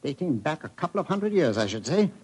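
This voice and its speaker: An actor playing a hesitant but enthusiastic antiquarian explorer in an old-time radio drama. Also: male voice, a little high, very animated, a little fast, darkish tone, husky. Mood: excited. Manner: hesitant, enthusiastic, repeats words. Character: eager, fussy English antiquarian cave explorer. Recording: old radio recording, faint noise.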